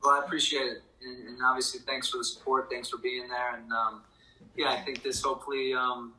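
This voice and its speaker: A soccer coach, a man, answering at a press conference in a halting way with many restarts. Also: medium pitch, little pitch movement, sharp tone, roomy sound, slight background noise, rough recording.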